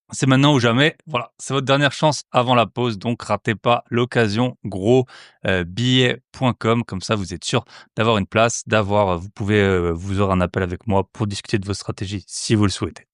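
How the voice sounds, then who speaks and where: A man's voice: fairly low pitch, dry recording, clean studio sound. A man hosting an investment podcast in French, reading a promo and then welcoming a guest, with many filler hesitations. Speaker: podcast host. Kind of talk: podcast ad read and interview intro.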